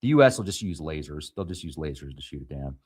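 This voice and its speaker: A man who hosts a show reads news stories aloud and adds quick asides. English is not his first language, and he sometimes stumbles over place names. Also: very deep voice, sing-song, darkish tone, breathy edge. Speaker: show host. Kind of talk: reading news headlines with commentary.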